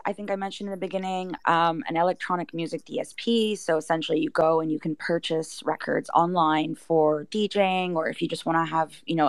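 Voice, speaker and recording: A woman's voice: low-pitched, dry recording. A woman speaking as an interview guest, explaining things in a casual, chatty way. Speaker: interview guest, project team member.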